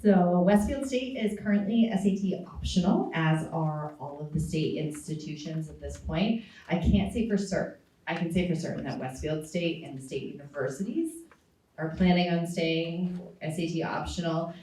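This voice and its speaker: A woman in her forties answers a panel question as a college admissions director. Her voice sits low and she explains steadily, with many ums. It rings through a roomy school auditorium, heard from a distance. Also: normal speed, mellow, a little hiss or hum. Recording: echoey hall, distant mic.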